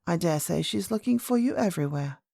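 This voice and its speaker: A woman voicing a very old, gentle and mysterious grandmother queen in a storybook, speaking calmly and kindly with measured words. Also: lowish voice, creaky, dry recording, clean studio sound. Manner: calm, measured, kindly, enigmatic. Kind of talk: storybook character voice.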